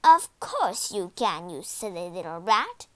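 Lady doing a croaky old witch for a kids story, sly n bossy, drags words out, big swoopy pitch. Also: huge pitch swings, slow, sharp tone, no room sound, heavily acted. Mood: angry, bossy. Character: ugly old witch, sly, bossy, greedy and short-tempered. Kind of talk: children's storybook character voice.

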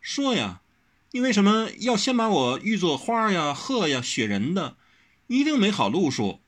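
A man's voice: sits high, huge pitch swings, moderate pace, a bit bright, husky, pitched above the performer's own voice, full character voice. A man voicing a headstrong, pouty young swordswoman in an audiobook, whiny and insistent. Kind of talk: audiobook character reading.

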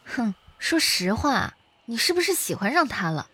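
A woman's voice: a little high, big pitch swings, moderate pace, sharp tone, breathy edge, exaggerated voice. A woman playing a teasing, down-to-earth younger sister in a science fiction radio drama.

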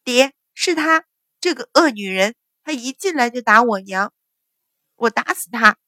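A woman voicing a fierce eight-year-old boy who shouts defiantly, in a dry recording. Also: fairly high pitch, lively intonation, measured pace, dry recording. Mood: angry.

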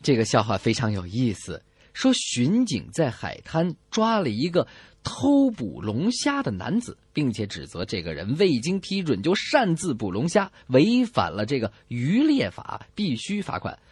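A man hosting a comedy radio show, telling jokes in an animated back-and-forth style. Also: medium pitch, normal speed, breathy edge, no echo. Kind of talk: animated joke telling with co-host.

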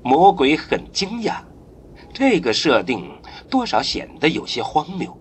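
A male narrator tells a science-fiction tale solemnly, with dramatic pauses. His mid-pitched voice rises and falls widely at a slow pace, over faint background noise. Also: huge pitch swings.

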